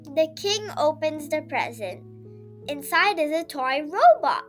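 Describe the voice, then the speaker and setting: A woman reading a children's story aloud in an expressive, scripted storytelling manner. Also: sits high, measured pace, soft background music. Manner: expressive read-aloud delivery with character voices. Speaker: storybook reader.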